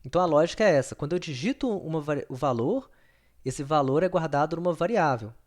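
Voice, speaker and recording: A man's voice: a little high, expressive, fast. Patient male programming teacher. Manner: patient, repeats himself, checks in with the students. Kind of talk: recorded screen-share lesson.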